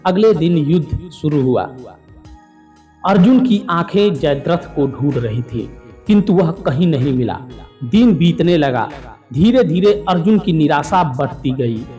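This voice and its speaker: Male narrator, slightly high voice, measured formal storytelling over faint music. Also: animated, dark tone. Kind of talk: scripted mythological narration.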